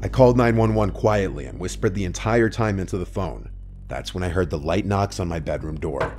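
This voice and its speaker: A man reading first-person horror stories in a scripted narration, with a low, hushed storytelling delivery. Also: brisk. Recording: soft music underneath.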